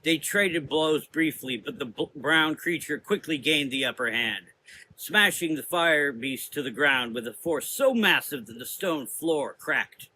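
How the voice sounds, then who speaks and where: A man's voice: on the high side, unhurried, bright, forceful tone, a little hiss or hum, low-quality recording. A man giving dramatic scripted narration of a gothic audio story.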